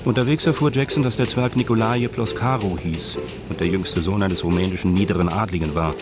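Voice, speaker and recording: A man's voice: sits low, a little fast, over loud music. A man reading scripted narration as the narrator of a radio drama.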